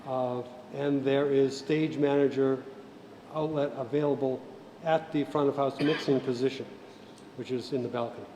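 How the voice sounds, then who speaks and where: A male instructor walks through technical equipment in an informal, methodical way. He speaks in a mid-range voice and often pauses mid-sentence. The room is echoey, with faint noise behind him. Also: mid-range voice, fairly even intonation, dark tone. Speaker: instructor giving an equipment tutorial. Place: indoor set room by a theater stage. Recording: roomy echo with faint background noise.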